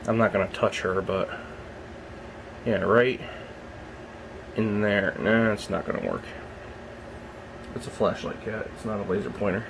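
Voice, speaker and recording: A young man with a very low voice, just out of bed, rambling softly in a casual vlog as he films his snake at home. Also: creaky, noisy background. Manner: rambling, soft-spoken, keeps his voice down. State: just woke up. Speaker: reptile keeper filming his snake. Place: at home beside a reptile rack.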